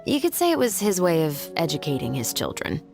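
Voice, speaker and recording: A resolute young female patrol officer in a video game. The voice sits fairly low for a woman, measured and earnest, with formal, composed phrasing. Music is clearly audible underneath. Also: huge pitch swings, exaggerated voice. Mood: somber, reflective. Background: background music.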